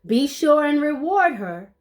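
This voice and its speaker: A woman's voice: high voice, big pitch swings, a little slow. A woman reading a children's story aloud expressively, playing up the sound words and adding short asides to her listeners. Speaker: storybook reader.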